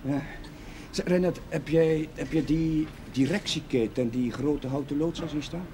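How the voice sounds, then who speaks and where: High-voiced, kindly chaplain played by an actor. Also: male voice, normal speed, mellow, close to an everyday voice. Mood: inquiring, persuasive. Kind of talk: television period drama acting. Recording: faintly noisy 1970s television soundtrack.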